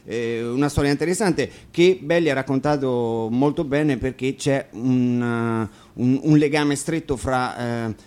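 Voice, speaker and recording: A man informally introducing songs and telling stories to an audience, explaining things with hesitant filled pauses. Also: mid-range voice, darkish tone, smooth. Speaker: musician introducing songs at a concert. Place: radio broadcast of an acoustic concert.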